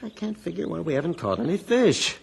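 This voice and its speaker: A man's voice: on the high side, very animated, fairly quick, vocal fry, breathy edge, heavily acted. An actor playing an uneasy, suspicious fisherman in a vintage radio drama, edgy and brooding. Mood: puzzled. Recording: old radio recording, faint noise.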